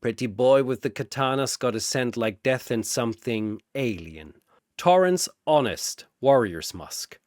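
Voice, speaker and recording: A man voicing a gruff, sardonic clawed mutant brawler in an audio story, growling his words. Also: mid-range voice, expressive, a little slow, a little mellow, no room sound, studio quality, natural voice. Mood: suspicious, mocking.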